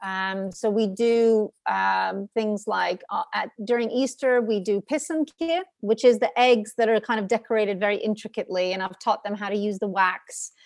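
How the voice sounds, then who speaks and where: A woman's voice: mid-range voice, fairly even intonation, normal speed, vocal fry, few pauses, no echo. A woman in her thirties from New York, whose first language is Ukrainian, telling her family's story as an author guest in a chatty way with many fillers. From New York, USA. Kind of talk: casual interview answers.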